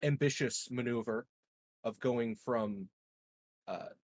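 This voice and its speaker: A man's voice: mid-range voice, slow, a little mellow, dry recording. A man improvising in character as a player in a tabletop game, telling things in a rambling, evasive, hesitant way.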